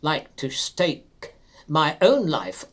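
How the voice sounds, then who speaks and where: A man narrating a classic literary story aloud in a measured, formal and expressive dramatic reading style. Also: on the high side.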